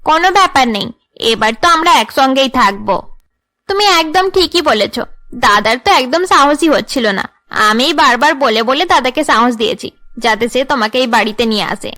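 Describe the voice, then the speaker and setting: A woman voicing haughty, scheming young women in a cartoon drama, with an imperious, sharp-tongued way of talking. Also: high voice, lively intonation, normal speed. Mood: smug, gossipy. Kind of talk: Bengali cartoon drama dubbing.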